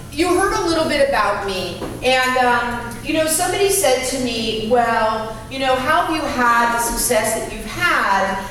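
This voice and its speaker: A middle-aged woman from California giving an energetic, self-deprecating motivational talk to a live audience. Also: high-pitched, a little hiss or hum. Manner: energetic, self-deprecating, speaks about having a loud voice. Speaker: guest speaker. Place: meeting room with small audience. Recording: echoey room, speaker far from mic.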